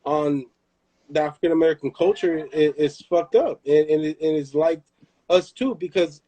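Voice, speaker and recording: A man's voice: fairly high pitch, moderate pace, mellow, dull audio. A young man from Southern California, hosting a casual live chat, talking emphatically and repeating himself as he makes his point. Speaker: host.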